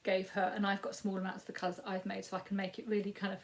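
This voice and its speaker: A woman in her fifties with a Yorkshire accent and a croaky voice, giving a rambling, casual crafting update with frequent ums. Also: mid-range voice, monotone, very fast. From Yorkshire, England. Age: 50s. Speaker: crafting podcast host.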